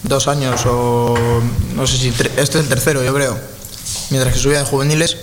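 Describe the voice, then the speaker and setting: Galician male footballer, relaxed, reverberant room with background noise. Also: mid-range voice, moderate pace. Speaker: footballer guest. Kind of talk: casual chat.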